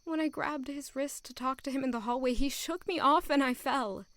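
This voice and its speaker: High-voiced anxious young woman, acted by a woman. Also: normal speed, a bit bright, no echo. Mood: hurt, frustrated. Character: anxious, lovelorn young servant woman, sweet and earnest. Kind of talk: audio drama acting.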